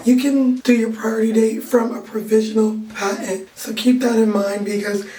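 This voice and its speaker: A young woman with a naturally raspy voice that has gone hoarse, talking casually as she explains legal points in plain terms. Her pitch sits a little high and stays fairly flat. She sounds set back from the mic in a roomy, echoing space. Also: normal speed. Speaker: legal intern vlogger. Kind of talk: casual vlog recap. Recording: roomy echo, distant from the mic.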